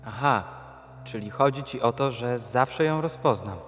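A man's voice: medium pitch, a little slow, husky, soft background music. An actor playing an earnest little boy who questions everything in a plain, childlike way, in an audio drama.

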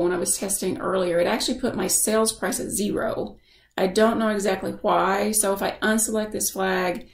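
A woman giving a casual software tutorial as a screen-share voice-over, explaining informally and thinking aloud. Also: medium pitch, gravelly, reverberant. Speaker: software instructor.

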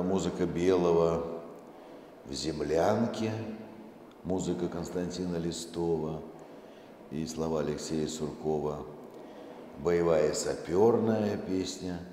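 A middle-aged man giving a measured public presentation from a stage, with halting pauses. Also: low-pitched, dark tone. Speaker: presenter, museum director. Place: seated on stage in a large dark hall. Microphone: handheld microphone through hall speakers, far camera. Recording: very echoey hall with faint noise.

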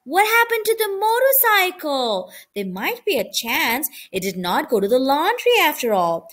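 Lady reading as a little boy mouse, storybook, earnest and sure of himself, high and animated. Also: moderate pace. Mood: bewildered, worried. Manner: earnest, declarative. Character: young boy mouse, earnest, self-assured, a little grand.

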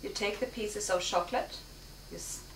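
A woman in her thirties from Sweden hosting a home cooking show, calmly explaining each step as she cooks in her kitchen. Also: medium pitch, normal speed. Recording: echoey kitchen, distant mic, noisy.

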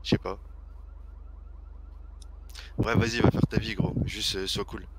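Man, lowish pitch, slangy gamer argument, repetitive phrasing, heavy noise and clicks. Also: little pitch movement, measured pace. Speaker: fellow role-play gamer. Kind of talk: casual argumentative in-game chat. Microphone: online game voice chat. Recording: very noisy, clicky game voice chat.

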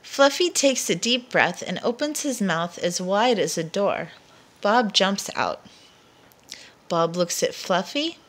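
A woman reads a picture book aloud for young children. Her pitch moves around a lot and she takes her time, placing dramatic emphasis on key words in an expressive storyteller's style. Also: slow pace.

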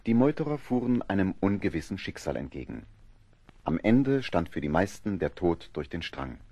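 A man narrating a seafaring adventure radio play in a grave, dramatic storytelling style, with a husky voice. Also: low-pitched, big pitch swings, normal speed, mellow, no room sound, muffled recording, heavily acted.

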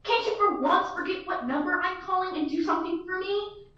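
A woman's voice: extremely high pitch, fairly quick, fairly bright tone. A young woman acting a fretful, demanding wealthy woman on the telephone in a school radio play, indignant and insistent. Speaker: student actor. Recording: dull, roomy, from far off.

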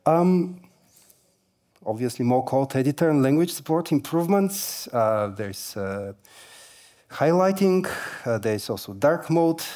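A man's voice: mid-range voice, animated, unhurried, dark tone. A man in his forties giving a tech conference keynote on a large stage, speaking casually with many fillers and light humor through a headset mic.

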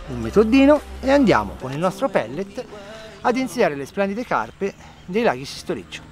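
A man's voice: high-pitched, recorded from a distance. Chatty man explaining fishing outdoors. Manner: lively, chatty, explains step by step. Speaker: fishing vlog host. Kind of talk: outdoor fishing tutorial and chat. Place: outdoors by a lake. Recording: background music under the voice.

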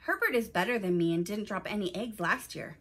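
Woman playing self-doubting young boy, hesitant, mumbling, gloomy, bright tone, storybook reading. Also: mid-range voice, animated, fairly quick. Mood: earnest.

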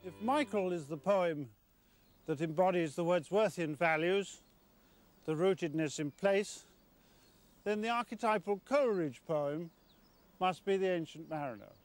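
A man in his fifties with an English accent presenting a documentary in an articulate, scholarly, measured way. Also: high voice, animated.

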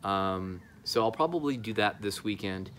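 A man's voice: lowish voice, expressive, normal speed, a bit bright. A man in his thirties talking casually to camera outdoors, with a hesitant, informal delivery, as an amateur radio vlogger. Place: outdoor backyard patio. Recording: clearly noisy outdoor recording.

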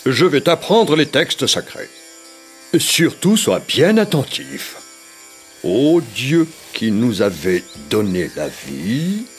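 A man playing a solemn, venerable sage and teacher in a French cartoon dub, measured yet expressive. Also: medium pitch, faint music behind. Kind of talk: French animated film dub.

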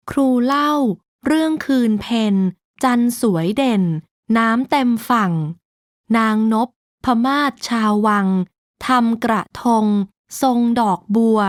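A woman narrating a rhyming children's storybook in a slow, measured, sing-song way. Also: on the high side, no echo, clean studio sound.

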